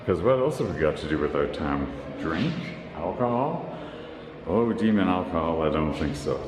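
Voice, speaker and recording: A man with a very low voice muses and jokes to himself at a slow pace on a handheld camera. His voice echoes around a bare room under renovation, and music plays clearly in the background. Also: expressive. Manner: wry, musing, talks to himself. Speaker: vlogger. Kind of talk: casual self-talk while walking around. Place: empty indoor room under renovation, at night.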